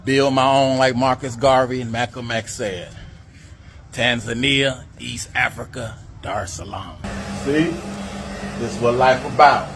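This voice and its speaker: A man vlogging from his patio, relaxed and preachy, urging viewers with "y'all" and "baby". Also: mid-range voice, noisy background. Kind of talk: casual selfie vlog. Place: outdoor patio.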